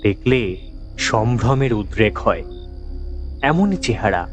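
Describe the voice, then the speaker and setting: A man narrating a scripted horror story in a dramatic, measured, suspenseful manner. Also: lowish voice, lively intonation, soft background music.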